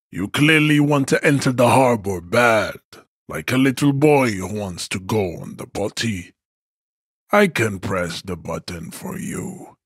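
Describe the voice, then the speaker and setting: A male actor voicing a haughty, contemptuous strongman ideologue in a video game, declaiming in a pompous, condescending way with a husky voice. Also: on the low side, a bit bright, no echo, studio quality, heavily acted. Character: haughty, towering male race-theorist strongman, contemptuous and grandiose. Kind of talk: scripted video game character dialogue.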